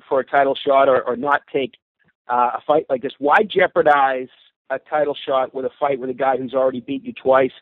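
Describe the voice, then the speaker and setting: A male sports reporter putting wordy, halting, probing questions over a conference call. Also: mid-range voice, no room sound. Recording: narrowband phone conference line.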